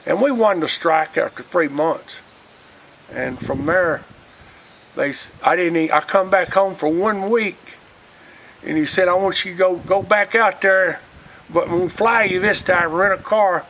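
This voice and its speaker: A man's voice: high voice, a little slow, a bit bright. An older man with an Alabama Southern accent, telling stories from his past in a folksy, rambling, casual way. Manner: folksy, rambling, often quoting past conversations. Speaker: storyteller, retired union organizer. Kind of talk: casual reminiscing.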